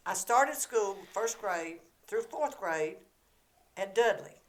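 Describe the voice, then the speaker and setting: A Southern woman in her seventies, a podcast guest telling stories from her life in a lively, playful way with frequent laughter. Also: medium pitch, slow, a little hiss or hum, poor audio. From Georgia, US. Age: 70s. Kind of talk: casual storytelling chat.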